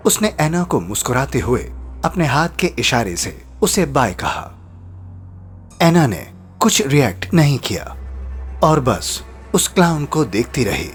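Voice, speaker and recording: A male narrator tells a scripted horror tale with suspense. His medium-pitched voice moves expressively up and down. Faint music plays behind him. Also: big pitch swings, unhurried. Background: background music.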